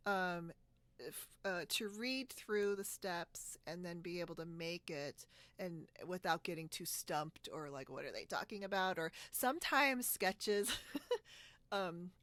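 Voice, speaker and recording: A woman's voice: lowish voice, slow, a bit bright, no room sound. A woman hosting a casual video podcast over a video call, talking in a chatty way and often laughing as she goes. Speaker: podcast host. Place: split-screen video call, recorded dry.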